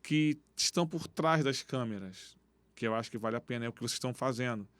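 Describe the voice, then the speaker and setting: A man who coaches youth football, speaking as a podcast guest and telling stories in animated street slang. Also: mid-range voice, fast, no room sound. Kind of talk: casual interview talk. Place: podcast studio.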